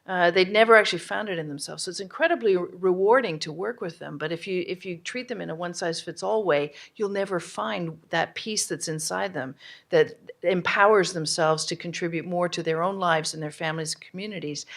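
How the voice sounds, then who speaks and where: A woman's voice: on the low side, a little fast, vocal fry. A woman moderating a live audience discussion at a conference, energetically prompting the room for takeaways. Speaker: summit facilitator.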